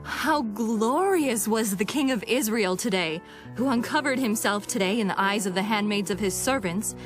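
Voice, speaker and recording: A woman's voice: medium pitch, normal speed, over music, natural voice. A woman playing a scornful royal wife in a scripture drama, sarcastic and disdainful. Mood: scornful, mocking. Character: scornful royal wife, mocking her king.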